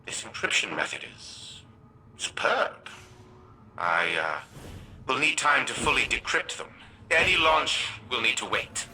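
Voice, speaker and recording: An actor playing a precise, slightly hesitant technical specialist in formal game mission dialogue. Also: male voice, mid-range voice, big pitch swings, unhurried, sharp tone, slight background noise, exaggerated voice, low-quality recording. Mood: intrigued. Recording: clear music underneath.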